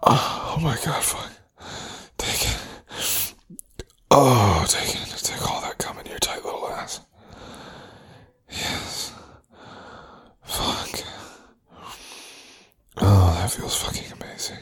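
A man's voice: fairly low pitch, animated, slow pace, pauses often, fairly bright tone, husky, full character voice. A man playing a cold, domineering, cruel lover in a whispered adult audio roleplay, speaking close and taunting. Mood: possessive.

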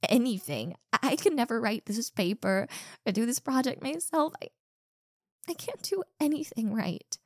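A young woman playing a rude, insecure popular college girl in a one-sided roleplay, sharp-tongued and defensive, stumbling over her words. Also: medium pitch, dry recording, studio quality. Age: young adult.

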